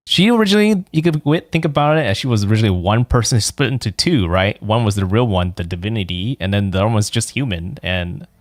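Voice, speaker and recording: A man's voice: on the low side, animated, quick pace, few pauses, no echo, clean studio sound. A man giving casual let's play commentary as a streamer, musing in a rambling, questioning way. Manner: rambling, musing, often ends on a questioning "right?". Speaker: streamer playing a game. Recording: game music behind the voice.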